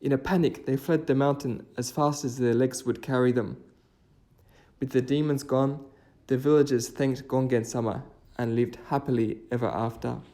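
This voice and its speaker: Guy doing hiking vlog narration and folk stories, mellow dark voice. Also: mid-range voice, steady tone, moderate pace. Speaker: hiking vlogger and storyteller. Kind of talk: casual trail commentary and folktale narration.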